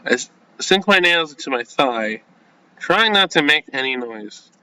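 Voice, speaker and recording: Animated man reading a game aloud. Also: on the high side, rough recording. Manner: flustered, halting, self-censoring with casual slang. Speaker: gaming video host. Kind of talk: reading a visual novel aloud with casual reactions.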